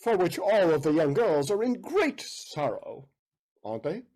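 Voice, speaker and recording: Man as a husky, lecherous aristocratic count. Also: on the high side, very animated, unhurried, a little mellow, poor audio. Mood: wheedling, pompous. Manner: pompous, wheedling. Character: lecherous, smooth-talking aristocratic count, pompous and wheedling. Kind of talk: theatrical period-comedy stage acting.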